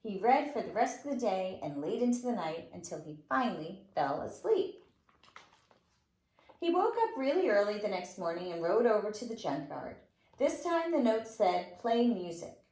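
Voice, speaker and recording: A woman's voice: medium pitch, very animated, moderate pace, a little mellow. A woman reading a storybook aloud to young children as a teacher, expressively and with dramatic emphasis. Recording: roomy, echoing room sound.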